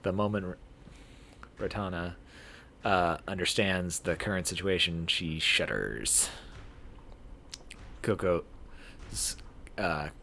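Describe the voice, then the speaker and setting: A man's voice: low voice, slow, long pauses, bright, forceful tone. A man narrating a visual novel aloud as a streamer, stumbling over words and rereading them. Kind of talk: live read-aloud narration of game text. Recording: clear background noise.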